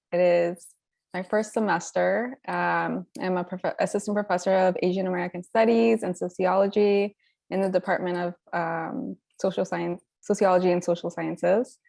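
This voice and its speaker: Woman panelist from California, relaxed, slight vocal fry, dry close sound. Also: fairly low pitch, no echo. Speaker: panelist, assistant professor. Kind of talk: panel introduction. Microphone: video call.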